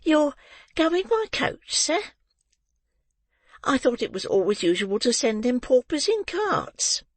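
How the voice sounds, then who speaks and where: A man's voice: very high voice, very animated, slow pace, pauses often, dry recording, voice pushed up, heavily acted. A man voicing a fawning, gushing woman who keeps a foster farm, exclamatory and coaxing in a storybook reading. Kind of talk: audiobook character reading.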